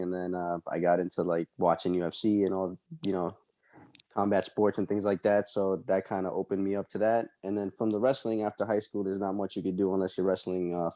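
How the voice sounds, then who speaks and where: A young man from El Salvador, a fighter being interviewed, answering casually and haltingly with many ums and uhs. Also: low-pitched, mellow, no room sound. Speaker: MMA fighter guest.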